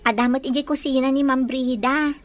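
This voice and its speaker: A humble household maid and mother in an audio drama, acted by a woman, with a high voice. She speaks meekly and respectfully. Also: fairly even intonation, brisk, close to an everyday voice. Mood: deferential. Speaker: drama actress. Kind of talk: Ilocano audio drama acting.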